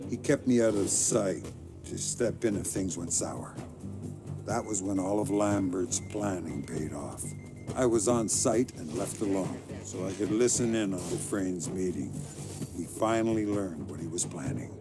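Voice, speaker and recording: A man playing a hardened middle-aged undercover spy in a video game, terse, grim and deadpan. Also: deep voice, a little slow, gravelly. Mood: guarded, terse. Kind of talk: dramatic video game acting. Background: loud music.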